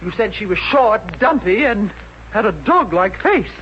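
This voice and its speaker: An actress playing a domineering, jealous middle-aged wife in a 1950s radio comedy, imperious and snobbish. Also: female voice, medium pitch, sing-song, a little slow. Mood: haughty, suspicious. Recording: muffled, noisy 1950s radio recording.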